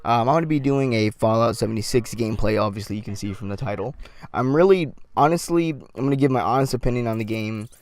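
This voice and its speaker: A man giving casual gameplay commentary, slightly raspy from a sore throat, rambling with lots of ums and restarts. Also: mid-range voice, fast, darkish tone, dry recording.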